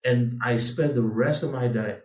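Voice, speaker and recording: A man's voice: medium pitch, normal speed, a little mellow, roomy sound, far from the mic. A man co-hosting a casual podcast, talking in a rambling way with many restarts and fillers. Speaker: podcast co-host.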